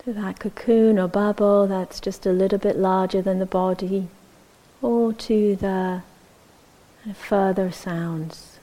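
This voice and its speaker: A woman teaching meditation, giving gentle, measured instructions with long pauses between short phrases. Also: medium pitch, fairly even intonation, poor audio.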